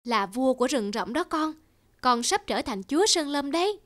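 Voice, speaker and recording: A woman voicing a gentle mother lioness in an animated fable, motherly and instructive. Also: high-pitched, normal speed. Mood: gentle instructing.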